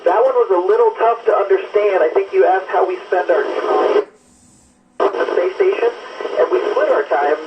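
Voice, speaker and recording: A man's voice comes through a dull amateur radio link with faint noise behind it. His pitch sits a little high. He explains things to students as an astronaut, in plain and enthusiastic language. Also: moderate pace. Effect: amateur radio transmission. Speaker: astronaut answering students' questions. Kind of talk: educational Q&A over amateur radio. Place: aboard a space station. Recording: muffled, faintly noisy ham radio link.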